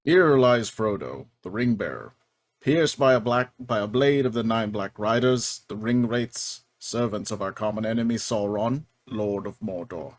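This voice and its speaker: A man's voice: on the low side, unhurried, no room sound, natural voice. A man playing a grave, stately, ageless elven king in a fantasy table read, formal and declamatory. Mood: solemn, grave.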